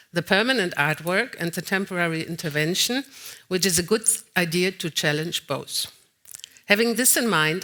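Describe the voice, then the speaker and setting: Woman curator doing a keynote at a lectern, bright clear voice, bit halting with uh's. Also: lowish voice. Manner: slightly halting, with frequent "uh" fillers. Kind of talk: lecture read partly from notes. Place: conference hall, at a lectern.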